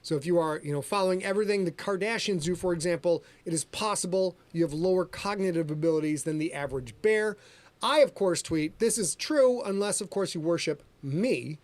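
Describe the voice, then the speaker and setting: A middle-aged man hosts a casual podcast livestream. He jokes and boasts like a showman, and his voice sits a bit high for a man. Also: fairly quick, no room sound. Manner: boastful, jokey, showman-like, addresses ladies and gentlemen. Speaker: podcast host and author.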